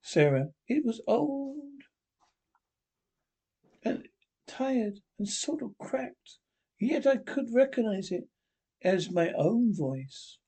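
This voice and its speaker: A husky-voiced reader voicing a frightened young woman, speaking in an anxious hush. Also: medium pitch, sing-song, slow pace, long pauses, full character voice. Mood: uneasy.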